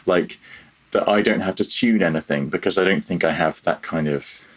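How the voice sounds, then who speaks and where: A man talking reflectively and casually as an interview guest, halting and self-deprecating as he goes. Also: low-pitched. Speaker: podcast guest, freelance audio programmer.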